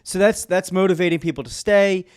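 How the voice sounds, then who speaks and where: A male podcast host with a smooth, clear and slightly high voice runs a casual interview. He fires off quick follow-up questions one after another. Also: darkish tone. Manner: asks quick stacked questions.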